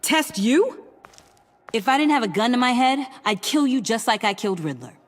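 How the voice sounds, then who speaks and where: A woman's voice: on the high side, expressive, moderate pace. An actress playing a defiant, vengeful young female captive in a video game, blunt and contemptuous. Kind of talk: dramatic video game acting.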